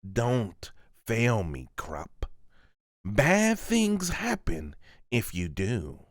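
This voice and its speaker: A man's voice: lowish voice, huge pitch swings, drawn-out words, no echo, clean studio sound, heavily acted. A man voicing a mocking, menacing lord who experiments on people, with theatrical drawling threats, in an amateur manga voice-over. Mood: cold warning.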